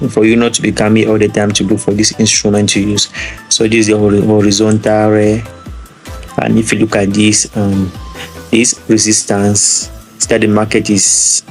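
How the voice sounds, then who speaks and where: A man from Nigeria with a Nigerian accent, casually walking through a screen-share tutorial and often repeating his words. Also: fairly low pitch. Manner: rambling, repeats words, chuckles. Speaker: trading tutor.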